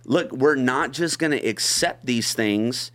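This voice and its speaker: An earnest man from Long Beach speaks as a podcast guest. He talks in a relaxed, conversational way and often repeats his first words before he gets going. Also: mid-range voice, a little slow. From Long Beach, California. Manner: earnest, repeats words when starting a thought.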